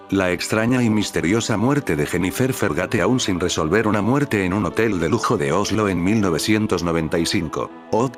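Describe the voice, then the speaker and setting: Deep-voiced man narrating over faint music. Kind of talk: scripted narration.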